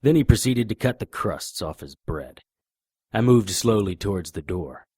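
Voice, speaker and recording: An actor playing a deadpan, hardboiled private investigator, narrating and speaking in a noir audio drama. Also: male voice, fairly low pitch, moderate pace, slightly rough, dry recording, clean studio sound. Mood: deadpan, tense. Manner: deadpan, wisecracking.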